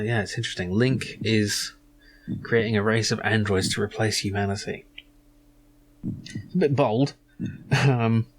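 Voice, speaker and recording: Guy with a lowish voice chatting through a game playthrough, kinda musing, faint hum behind him. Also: low-quality recording. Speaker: gaming video host. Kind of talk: casual playthrough commentary.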